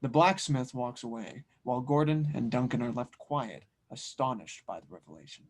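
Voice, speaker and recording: A man narrates an audio drama script, reading out the stage directions. His voice has a lot of fry and a husky, unpolished grain. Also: medium pitch, normal speed.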